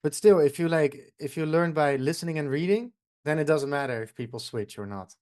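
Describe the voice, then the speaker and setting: Fairly high-voiced man. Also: fairly quick, a little mellow. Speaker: language teacher, host. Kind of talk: casual chat.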